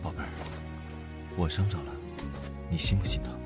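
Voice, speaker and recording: Low-voiced, tender, protective boyfriend played by a man. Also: moderate pace, music in the background, natural voice. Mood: tender reproach. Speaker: voice actor. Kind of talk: Chinese boys' love audio drama acting.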